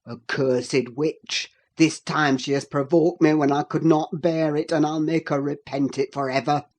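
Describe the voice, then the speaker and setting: A woman's voice: deep voice, fairly even intonation, a little slow, dark tone, voice pushed down. Woman playing a raging, brooding male master. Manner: harsh, threatening, commanding. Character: furious brooding middle-aged male master.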